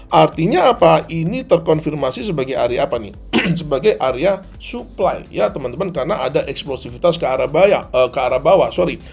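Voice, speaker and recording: A slightly hoarse man giving a tutorial as a trading instructor, didactic, often asking and answering his own questions. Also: on the high side, lively intonation, brisk, darkish tone. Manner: didactic, asks and answers own questions, addresses viewers as friends. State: hoarse, often clearing throat. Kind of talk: tutorial commentary on a chart replay.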